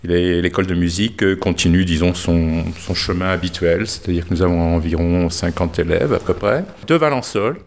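Deep-voiced guy, association president, explains things calmly, a few euhs here and there. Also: normal speed, few pauses. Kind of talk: interview statement.

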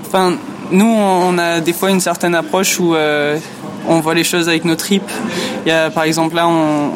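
A woman whose first language is English, answering interview questions in French as a musician, pausing often to search for words. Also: lowish voice, noisy background.

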